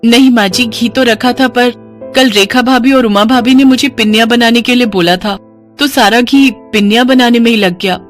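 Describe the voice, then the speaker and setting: A woman's voice: fairly high pitch, moderate pace, pitched above the performer's own voice, lightly acted. Lady doing a meek apologetic young bahu, humble, explaining herself, music underneath. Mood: meek, pleading.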